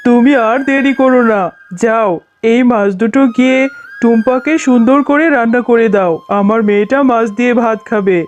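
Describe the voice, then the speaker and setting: A woman voicing a doting father fox, a fisherman, in a homely, expressive cartoon style. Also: on the high side, normal speed, close to an everyday voice. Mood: pleased, doting. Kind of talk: children's cartoon voice acting.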